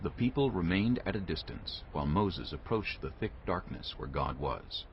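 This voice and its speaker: A man's voice: low voice, sing-song, normal speed, a little hiss or hum. A man reading scripture as a scripted narrator. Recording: dull lo-fi audio, loud music bed. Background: loud background music.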